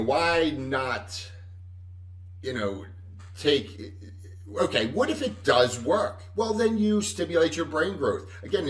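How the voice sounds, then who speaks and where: A loud-voiced man chats casually as host of a livestream, rambling along and chuckling as he corrects himself. His voice moves up and down in a lively way. He sits back from a webcam mic in an echoey home room. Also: mid-range voice, distant mic.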